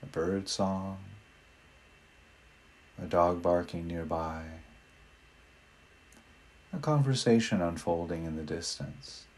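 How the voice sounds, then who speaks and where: A man leading a guided meditation in a gentle, unhurried manner with long pauses between phrases. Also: deep voice.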